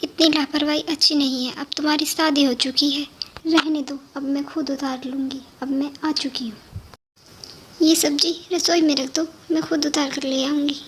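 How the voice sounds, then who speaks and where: A woman's voice: high-pitched, level tone, moderate pace, slight background noise, pitched above the performer's own voice, close to an everyday voice. A woman voicing a strict, bossy elder sister-in-law who chides others in a cartoon moral story. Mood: stern, scolding. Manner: stern, bossy, chiding.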